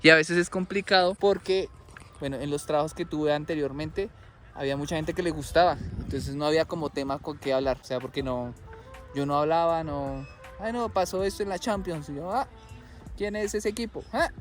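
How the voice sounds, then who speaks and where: A young Colombian man with a slightly high voice chats to camera in a rambling, easygoing way, often stopping to correct himself. He is recorded outdoors in a city, with soft music playing underneath. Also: brisk. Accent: Colombian Spanish. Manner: chatty, rambling, self-correcting. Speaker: vlogger. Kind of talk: casual talk to camera.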